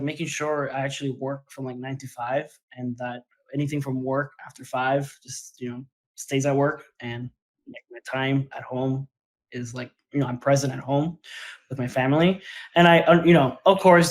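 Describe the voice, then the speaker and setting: Hesitant man guest from Puerto Rico. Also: medium pitch. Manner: hesitant, many fillers. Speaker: podcast guest. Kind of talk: casual chat.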